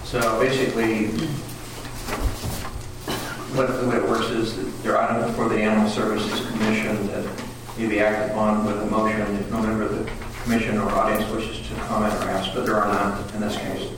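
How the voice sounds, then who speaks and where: A man chairing a public commission meeting, relaxed and procedural, with many ums and uhs. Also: on the low side, fairly quick. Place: council chamber. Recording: echoey room, distant mic, noisy.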